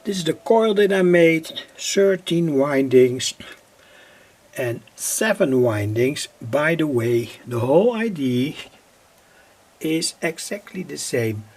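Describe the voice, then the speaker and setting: A man presents a hands-on technical demonstration without a script. His voice sits a little high and is gravelly and husky. He explains step by step in a halting manner, pausing often and repeating phrases. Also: lively intonation, a little mellow. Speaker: presenter of hobby radio electronics demos. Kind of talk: unscripted technical demonstration talk.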